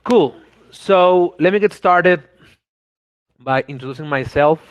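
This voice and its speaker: A man's voice: fairly high pitch, slow, no echo. A man in his thirties from Venezuela with a Venezuelan Spanish accent, giving an online conference talk in an eager way, often repeating words and restarting. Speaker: conference speaker. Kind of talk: online presentation.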